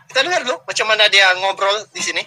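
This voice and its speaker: A man's voice: high voice, animated, fast talker, bright, forceful tone. A man with a Malaysian Malay accent hosting a reaction video, chatting casually with frequent fillers. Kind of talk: casual commentary.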